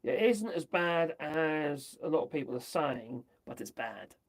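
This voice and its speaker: A man from the UK, chatting his way through the news on a livestream, rambling and tossing in tag questions, his voice going hoarse. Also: a little high, lively intonation, measured pace. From United Kingdom. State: losing his voice. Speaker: livestream host. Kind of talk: casual commentary on news.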